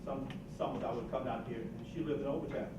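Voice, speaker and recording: A man's voice: mid-range voice, fairly even intonation, fast. An older man from Georgia speaking passionately and indignantly in a panel discussion, recorded from afar in an echoey room. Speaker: roundtable participant. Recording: distant echoey room mic with noise.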